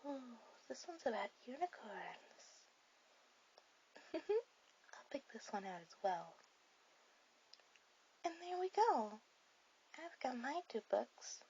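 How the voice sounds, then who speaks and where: A shy, bookish teenage anime girl, played by a woman, speaks in a high, gentle, hesitant voice as if alone with her date. It is a sweet, soft ASMR roleplay, recorded somewhat dull with a faint bed of hiss. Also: heavily acted. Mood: quietly excited. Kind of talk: ASMR romantic roleplay addressed to the listener. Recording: dull lo-fi audio with clear hiss.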